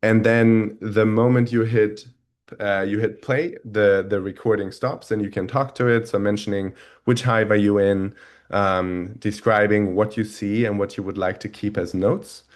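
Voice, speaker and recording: A man with a thick German accent hosting a product webinar over a video call, talking in a halting way with many restarts. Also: fairly low pitch, steady tone. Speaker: webinar host. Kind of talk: product demo webinar talk.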